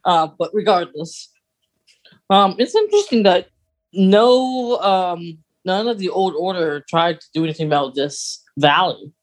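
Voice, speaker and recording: A podcast co-host in casual fan chat, geeky and halting, getting animated when amused. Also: mid-range voice. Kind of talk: casual fan chat about film lore.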